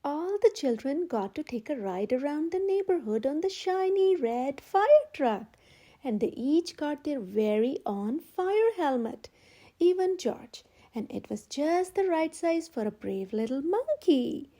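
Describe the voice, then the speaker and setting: A woman narrates a picture book for small children. Her voice is high and swings widely in pitch, with a soft, mellow tone. She reads expressively, leaning on key words. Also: unhurried. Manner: expressive, emphatic delivery for young children. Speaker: storyteller, channel host.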